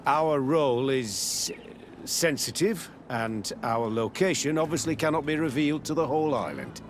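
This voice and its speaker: A man acts out a military camp captain for a video game, guarded and used to command. His mid-pitched voice is measured and curt, with faint outdoor ambience behind it. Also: expressive, slight background noise, lightly acted. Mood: guarded, official. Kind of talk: video game dialogue acting.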